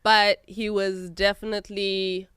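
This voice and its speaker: Smooth, dry-sounding woman from Zimbabwe. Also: medium pitch, flat delivery, measured pace, a bit bright, no room sound. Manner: laughs often, lighthearted storytelling. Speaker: guest, magazine editor. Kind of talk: casual interview.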